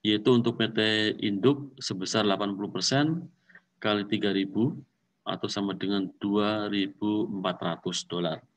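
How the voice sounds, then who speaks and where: A man's voice: lowish voice, steady tone, moderate pace, recorded from a distance. A man lecturing on accounting, walking step by step through calculations, repeating figures and mixing in English accounting terms.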